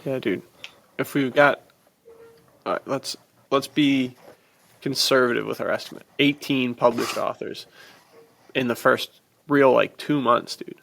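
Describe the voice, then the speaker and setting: A man's voice: mid-range voice, steady tone, a little slow, vocal fry, pauses often, a bit bright, slight background noise. A man co-hosting a podcast about fiction, talking casually and emphatically in informal, slangy speech. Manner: emphatic, informal, peppered with "dude" and "like". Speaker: podcast co-host and fiction author. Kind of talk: casual enthusiastic podcast chat.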